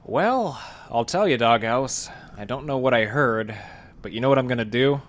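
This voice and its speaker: An actor playing a wry, folksy library detective who narrates and talks in a noir-style radio play, with a kindly streak. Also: male voice, medium pitch, animated, normal speed, close to an everyday voice. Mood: wry, reassuring.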